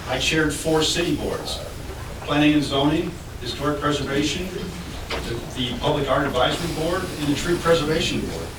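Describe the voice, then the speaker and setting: Man speaking from afar in an echoey hall. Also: medium pitch. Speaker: city commissioner candidate. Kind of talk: debate opening statement. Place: public library meeting room. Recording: echoey room, distant mic, noisy.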